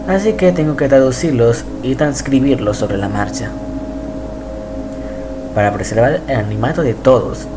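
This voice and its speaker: A man reading a scripted horror narration in a measured, even way. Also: medium pitch, low-quality recording. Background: music.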